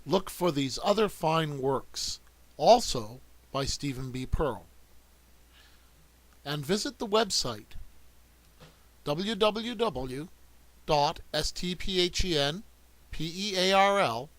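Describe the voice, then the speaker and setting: A man's voice: on the high side, animated, fairly bright tone, dry recording. A man reading the credits and closing announcement of a radio play. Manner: slow, deliberate. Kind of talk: scripted announcement.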